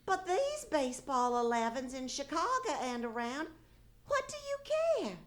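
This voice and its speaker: A woman's voice: high voice, huge pitch swings, measured pace, heavily acted. A woman playing a naive, nagging, good-humoured housewife and mother, questioning skeptically in 1940s-style radio comedy. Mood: puzzled, doubtful. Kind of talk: 1940s radio comedy acting, live on stage. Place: live theatre stage.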